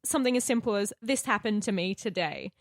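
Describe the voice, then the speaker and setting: A woman's voice: medium pitch, animated, a little fast, dry recording. Australian woman in dry, informal podcast chat. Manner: informal, sweary. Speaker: podcast co-host and actor.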